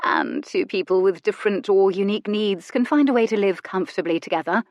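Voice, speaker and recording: A woman puts on a daring young lady of the Regency era, coaxing and coy, her voice lifting brightly as she persuades. The sound is close and dry. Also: mid-range voice, very animated, brisk, no echo.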